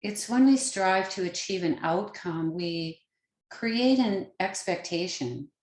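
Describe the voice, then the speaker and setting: A woman with a fairly low voice guides a meditation in a roomy, echoing space. She speaks very slowly and gently, leaving pauses between short phrases. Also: lively intonation. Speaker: yoga nidra meditation teacher.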